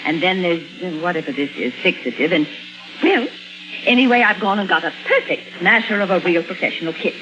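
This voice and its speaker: Vintage radio drama, music behind: actress as bustling middle-aged woman, chatty, animated. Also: lowish voice, brisk, lightly acted. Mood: chirpy, coaxing. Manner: chatty, bright-mannered, coaxing. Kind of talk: 1950s radio drama acting. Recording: dull vintage 1950s radio recording.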